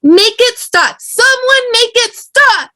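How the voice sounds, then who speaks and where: A woman's voice: very high voice, expressive, slow pace, a crowd in the background, exaggerated voice. A woman acting a frightened, accusing passenger in an amateur table read, frantic, demanding and repeating herself. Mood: hysterical panic.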